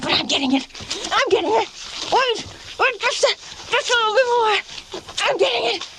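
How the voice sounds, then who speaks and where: A woman's voice: extremely high pitch, lively intonation, moderate pace, very noisy. An actress playing a delirious, secretive woman in an old time radio horror drama, swinging from conspiratorial muttering to frantic cries. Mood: frantic, straining. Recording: vintage radio recording, loud music behind.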